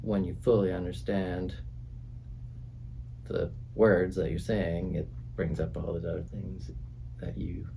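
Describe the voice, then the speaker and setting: A man, a music producer and drummer, talking in a relaxed, halting way in a recording studio about the songs he played on. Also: low voice, gravelly, a little hiss or hum, low-quality recording. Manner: reflective, halting, many fillers. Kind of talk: casual conversation.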